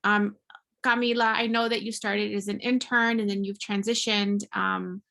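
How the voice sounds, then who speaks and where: A woman hosting an online panel informally, speaking in a halting, enthusiastic way as if to participants on a video call. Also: medium pitch, flat delivery, gravelly, sharp tone. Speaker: panel host, career office staff. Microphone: computer mic on video call.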